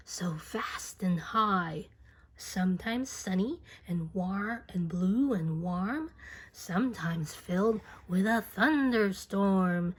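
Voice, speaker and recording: A woman reading a children's book aloud as expressive rhyming narration, deliberate and singsong, drawing out words. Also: lowish voice, a little hiss or hum.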